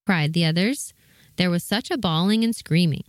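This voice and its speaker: Woman reading a kids story as narrator, lowish voice, dry close sound. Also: expressive, normal speed, no echo.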